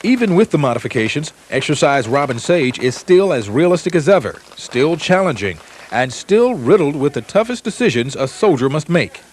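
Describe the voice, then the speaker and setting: Lively guy doing news voiceover, bit high, clear outdoor and vehicle noise behind. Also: moderate pace. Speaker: news reporter. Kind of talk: scripted news narration.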